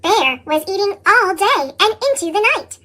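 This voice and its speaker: A woman reading children's picture books aloud in narration, her voice changed with a pitched-up chipmunk effect. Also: animated, moderate pace, bright, forceful tone. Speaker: picture book reader.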